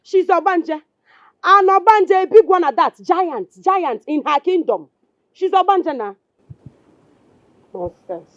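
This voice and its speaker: A woman's voice: very high-pitched, lively intonation, takes it slowly, pauses often, fairly bright tone, no room sound, dull audio, exaggerated voice. A Nigerian actress playing a quarrelsome, scornful woman in a film drama, scolding and taunting as she repeats herself. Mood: angry accusing. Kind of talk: Nollywood film drama acting.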